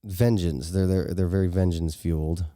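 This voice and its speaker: Deep, mellow man bantering casually. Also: steady tone, moderate pace, dry recording, studio quality. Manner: joking, colloquial reactions. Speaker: podcast co-host.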